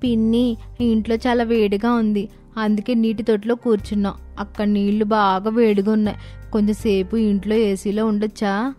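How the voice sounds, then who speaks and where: A woman's voice: mid-range voice, flat delivery, normal speed, mellow, soft background music, close to an everyday voice. A woman voicing a plaintive young child in a cartoon moral story. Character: children, a boy and sisters, pleading in summer heat.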